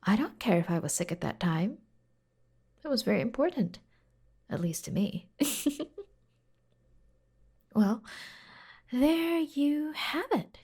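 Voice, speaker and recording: A devoted, playful secretary acted by a woman fusses over the boss in an ASMR roleplay. The voice is soft and breathy, unhurried and intimate, often slipping into a whisper. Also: fairly high pitch, very animated, exaggerated voice. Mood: playfully demanding. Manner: slow, gentle, playful, intimate. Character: caring, teasing, devoted young female secretary fussing over her boss.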